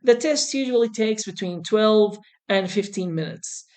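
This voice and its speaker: A woman teaching an English exam lesson in a deliberate, reassuring manner, repeating her key points as she goes. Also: mid-range voice, darkish tone. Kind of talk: instructional lesson to camera.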